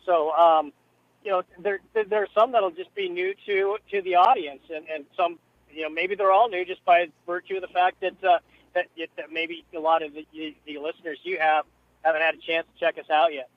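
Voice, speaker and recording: A man speaking as a radio interview guest by phone from a car, rambling casually and haltingly with repeated words. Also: high voice, steady tone, no room sound. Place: moving vehicle. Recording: dull phone call from a car.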